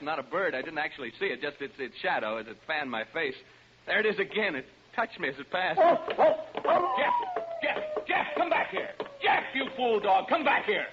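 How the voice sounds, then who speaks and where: An actor playing a jittery, skeptical radio DJ alone in a haunted house, stammering and chatting nervously to his listeners in 1940s radio style. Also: fairly high pitch. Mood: panicked shouting. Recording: noisy, dull 1940s radio recording.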